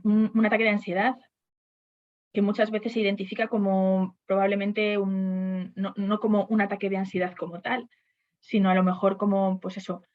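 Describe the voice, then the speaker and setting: A woman's voice: medium pitch, lo-fi sound. A woman on a webinar panel discussing HR topics, speaking hesitantly with frequent restarts and fillers.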